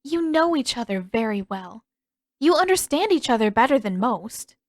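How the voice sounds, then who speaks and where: A shy young woman in a fantasy tale, acted by a woman reader, with a lightly raised pitch. She is gentle and sincere, speaking with hesitant, apologetic care. The recording is close and dry. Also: big pitch swings, moderate pace, no echo. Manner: hesitant, careful.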